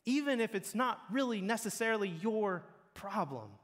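A man's voice: high-pitched, animated, moderate pace, fairly bright tone. A man preaching a sermon in an earnest, blunt way that builds in intensity. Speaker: pastor. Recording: long hall reverb.

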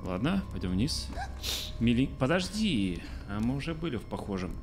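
A man's voice: medium pitch, big pitch swings, normal speed, faint music behind. A man in his thirties streaming a game from a small room, chatting casually, thinking aloud and answering his chat. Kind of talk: casual let's-play commentary. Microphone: studio mic on arm by face.

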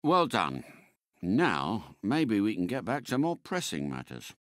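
Suave British spy car for a video game: unhurried, very animated intonation, polite, unflappable, clipped diction. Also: male voice, on the low side, dry recording, studio quality. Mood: composed, courteous. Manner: urbane, clipped, precise diction.

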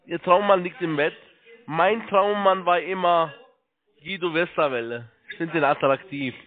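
A man working as a call-centre agent, giving halting step-by-step instructions, repeating himself and spelling things out. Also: a little high. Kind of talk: step-by-step phone sales instructions. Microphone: telephone. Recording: tinny narrow phone line.

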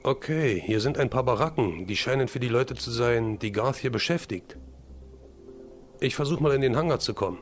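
A man's voice: mid-range voice, lively intonation, moderate pace, natural voice. Man acting a serious action hero over music. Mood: focused. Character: determined, serious male crime-fighting hero. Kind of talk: German fan audio drama acting.

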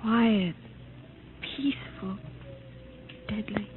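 An actress in a 1950s radio drama playing a frightened, tender young wife with breathless, melodramatic delivery, on a noisy old recording. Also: female voice, medium pitch, extremely slow, narrow, tinny audio. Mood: weary, afraid.

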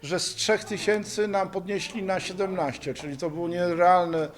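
A man's voice: high-pitched, steady tone, normal speed. A man speaking informally in an interview, with an enthusiastic, emphatic manner. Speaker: volleyball museum founder being interviewed.

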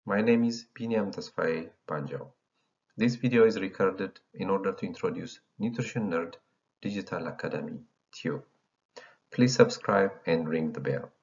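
A man's voice: sits low, animated, moderate pace, creaky, a little mellow. A man from Ethiopia in his thirties, founder of an educational channel, reading a slide presentation in a formal lecturing tone. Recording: dull lo-fi voice recording.

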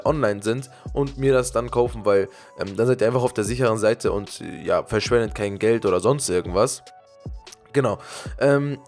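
A young man with a cold-roughened, lowered voice chatting casually to his viewers over gameplay, with frequent fillers. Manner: fast, chatty, many fillers. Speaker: gaming YouTuber.

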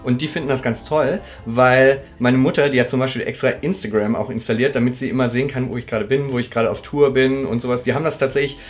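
A man in his thirties from Germany hosts a relaxed Q&A from a sofa, talking in an easy, chatty way and laughing often. His voice sits in the middle range. Faint music is heard behind him. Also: mid-range voice, fast, hardly any pauses. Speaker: host. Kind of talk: casual living-room Q&A. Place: living room, indoors.

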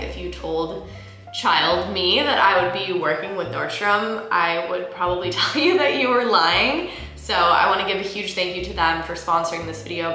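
A woman's voice: fairly low pitch, pushed and bright. A woman in her twenties talking casually to the camera as a lifestyle vlogger in a room at home. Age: 20s. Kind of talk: casual talk to camera, sponsor thanks. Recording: echoey room.

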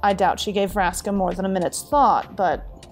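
A woman's voice: mid-range voice, steady tone, moderate pace, lightly acted. A woman playing a wary, well-informed informant in a fantasy audio drama, briefing formally and matter-of-factly. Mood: dry, guarded. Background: music.

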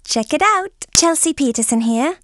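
Teenage girl, English accent, high voice, dreamy and friendly, dry studio sound, game character. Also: lively intonation, normal speed, a bit bright, dry recording. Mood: dreamy, enthusiastic. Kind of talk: scripted game character lines.

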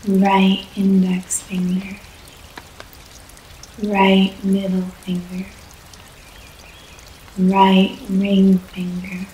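A woman leading a guided yoga nidra relaxation in a soft, soothing voice, with long pauses between short phrases. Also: mid-range voice. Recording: echoey room, faint background noise.

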